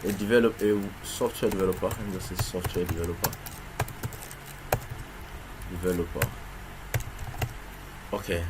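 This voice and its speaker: A man's voice: deep voice, steady tone, normal speed, pauses often. A man teaching programming in a screen-recorded tutorial, explaining step by step with frequent restarts and rhetorical questions. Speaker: programming instructor. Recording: clear background noise with keyboard typing.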